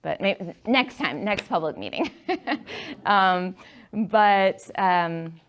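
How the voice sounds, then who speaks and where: A woman's voice: mid-range voice, expressive, extremely slow, smooth. A woman speaking at a public meeting, chatty and hesitant, with many fillers and restarts. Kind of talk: casual talk with audience questions. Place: meeting room.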